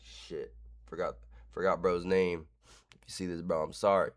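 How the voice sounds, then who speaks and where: A man's voice: sits low, level tone, normal speed. A man hosting casual fight predictions, frank and rambling with casual swearing. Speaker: host.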